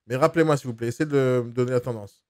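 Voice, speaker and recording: A man's voice: medium pitch, fast, a little mellow, dry recording, clean studio sound. A man posing as a buyer on a phone call, pressing hard and repeating himself to get his way. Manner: pushy, insistent, repeats himself. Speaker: mystery shopper posing as buyer.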